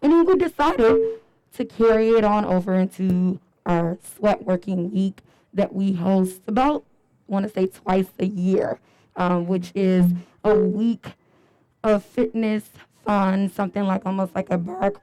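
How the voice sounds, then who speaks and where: A young woman with a hoarse, raspy voice, hosting a live panel at a fitness event in an upbeat, chatty way with lots of fillers. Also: mid-range voice, a little slow, dark tone, low-quality recording. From Chicago area. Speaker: panel moderator and fitness trainer.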